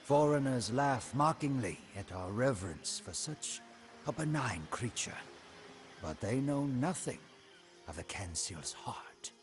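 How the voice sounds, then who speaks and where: Man voicing a slow, dramatic royal guard commander. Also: mid-range voice, expressive, close to an everyday voice. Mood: proud, solemn. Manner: solemn, dramatic, deliberate. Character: proud, devoted male commander of a royal guard. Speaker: narrator of a game campaign story. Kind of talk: scripted storybook narration in character. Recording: music behind the voice.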